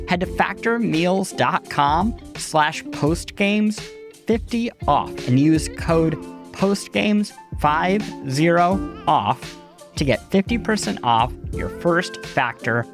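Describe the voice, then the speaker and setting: Animated male podcast host, higher-pitched voice. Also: takes it slowly, over music. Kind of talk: scripted podcast narration and ad read.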